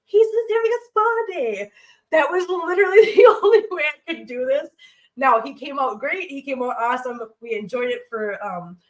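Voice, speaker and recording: A woman's voice: high-pitched, lively intonation, moderate pace, far from the mic, poor audio. Chatty congested woman cooking at home. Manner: chatty, rambling, talks to her pets and viewers. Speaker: cooking livestream host. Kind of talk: casual chat while cooking. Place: home kitchen.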